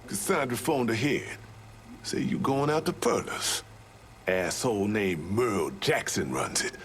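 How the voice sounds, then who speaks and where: A man's voice: lowish voice, sing-song, unhurried, fairly bright tone, a little hiss or hum, full character voice. An actor voicing a streetwise, embittered Southern man in a video game, with folksy, salty talk. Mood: bitter, scheming. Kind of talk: video game cutscene acting.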